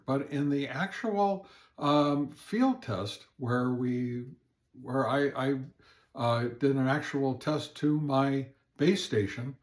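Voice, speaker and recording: A man presenting an informal hands-on product review as an amateur radio hobbyist, speaking in a halting, hesitant way. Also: medium pitch, no room sound.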